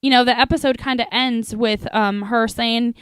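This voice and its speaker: Smooth-voiced woman co-hosting a podcast. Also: on the high side, steady tone, normal speed, dry recording. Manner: warm, chatty, playful teasing. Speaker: podcast co-host. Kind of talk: casual podcast chat.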